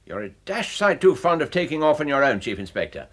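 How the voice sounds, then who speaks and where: An actor playing a gruff, irascible older police chief in a radio drama, blustering and brusque. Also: male voice, fairly high pitch, very animated, fairly quick, noisy background. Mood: blustering, exasperated.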